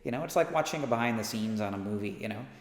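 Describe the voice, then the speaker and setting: A man's voice: lowish voice, expressive, racing. A man talking casually and with interest in a documentary interview. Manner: enthusiastic. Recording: echoey room with faint music behind.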